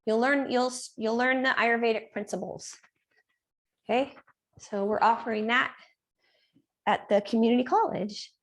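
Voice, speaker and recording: A woman leads a yoga class with a fairly high voice, giving calm step-by-step cues. She has been losing her voice and clears her throat now and then. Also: unhurried, pauses often. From Reno area, United States. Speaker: yoga teacher. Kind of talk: guided yoga class instruction.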